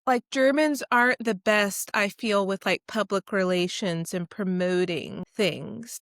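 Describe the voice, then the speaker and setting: A woman hosting a podcast, asking her guest questions in a relaxed, measured way. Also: mid-range voice, no echo, clean studio sound. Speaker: podcast host. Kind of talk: casual interview chat.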